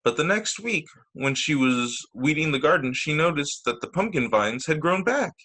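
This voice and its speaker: A man's voice: on the high side, very animated, bright, forceful tone. A man reading a children's storybook aloud as a teacher, stressing key words expressively over a video call. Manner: deliberate emphasis on key words, with short asides to listeners.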